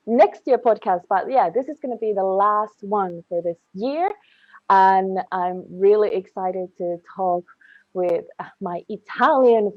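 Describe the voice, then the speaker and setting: A woman's voice: mid-range voice, no room sound, highs cut off. A woman hosting a casual podcast interview, speaking in an enthusiastic, rambling way. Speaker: podcast host.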